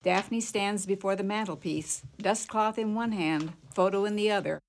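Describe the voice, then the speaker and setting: A woman's voice: medium pitch, normal speed, slight background noise, lightly acted. A woman narrating a radio play, reading out scene headings and stage directions in a scripted style.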